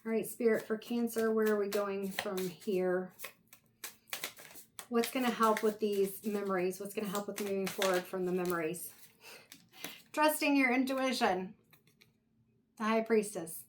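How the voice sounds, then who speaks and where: A woman's voice: medium pitch, a little slow, long pauses, noisy background. Woman losing her voice, giving a meandering tarot reading. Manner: meandering, reflective, talks directly to the viewer. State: losing her voice, clearing her throat. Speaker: tarot reader. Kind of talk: casual intuitive tarot reading.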